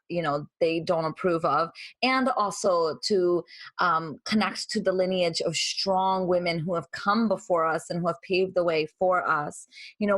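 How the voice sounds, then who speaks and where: Low-voiced woman hosting a reflective interview. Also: fairly bright tone, no room sound. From Brazil, moved to the United States at seven. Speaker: podcast host interviewing a guest.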